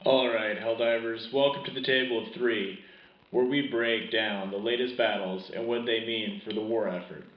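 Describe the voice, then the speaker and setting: A man's voice: mid-range voice, steady tone, normal speed, roomy sound, muffled recording, lightly acted. A man playing an earnest, patriotic host of a sci-fi wartime radio news show, with a formal announcer delivery. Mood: serious, inquiring. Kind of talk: scripted wartime radio broadcast.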